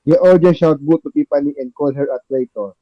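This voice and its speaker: A man's voice: fairly high pitch, quick pace, no echo. A man narrating stage directions in an amateur table read, recorded dry and a little dull. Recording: dull lo-fi, dry close sound.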